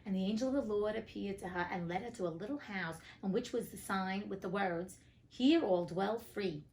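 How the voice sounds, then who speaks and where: A woman's voice: mid-range voice, a little fast, rough recording. A woman hosting a storybook reading, moving between narration and casual, outspoken, colloquial asides. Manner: sassy, outspoken, colloquial commentary. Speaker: host reading classic tales aloud.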